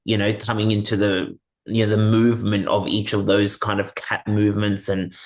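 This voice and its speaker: A man with a fairly low voice hosts a conversational interview. He speaks earnestly, offering advice and circling back to repeat his points. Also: completely flat delivery, moderate pace. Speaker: interview host.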